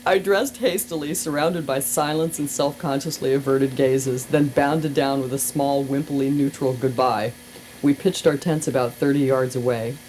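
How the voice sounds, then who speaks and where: A woman reading a humorous memoir aloud in an expressive, wry, deliberate storytelling style, recorded on an amateur cassette. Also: extremely low pitch, poor audio. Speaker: radio personality reading aloud. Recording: hissy amateur cassette tape, faint noise.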